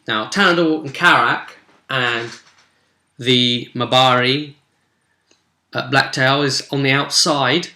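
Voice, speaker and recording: A man with a bright, forceful voice at a middling pitch hosts a casual game master's recap. He takes his time, rambling and cutting himself off with jokey, animated asides. The sound is roomy and echoey. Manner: animated, jokey, self-interrupting. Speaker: game master and vidcast host. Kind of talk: casual rambling recap of a tabletop role-play session.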